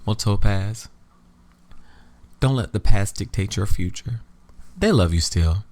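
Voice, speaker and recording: Man playing a deep-voiced, tender boyfriend. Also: expressive, a little slow, a little mellow. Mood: affectionate. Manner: earnest, reassuring. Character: gallant, tender boyfriend AJ, confident and protective. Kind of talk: romantic audio drama dialogue.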